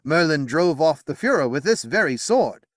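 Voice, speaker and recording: A man's voice: a little high, sing-song, normal speed, no room sound, studio quality, pitched above the performer's own voice. Man doing a defiant young king. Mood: resolute.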